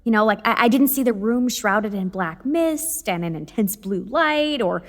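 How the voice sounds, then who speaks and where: A woman's voice: mid-range voice, animated, normal speed, lightly acted. A woman playing a sceptical but caring young confidante in an audio drama, blunt and dryly casual, with long reverb.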